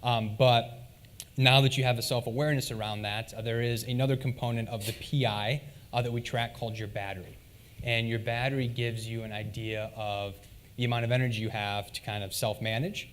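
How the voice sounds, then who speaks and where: A man presenting at a conference, speaking at an ordinary middle pitch. His delivery is easygoing and conversational, with plenty of "um"s as he explains to the audience. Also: brisk, slight background noise. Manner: relaxed and conversational, frequent "um"s. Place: conference hall stage.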